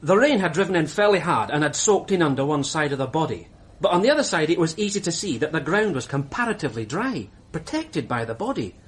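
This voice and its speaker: A man's voice: on the high side, sing-song, quick pace, husky. An actor playing a keen, chatty young male police constable in a radio drama, speaking with eager energy. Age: young adult.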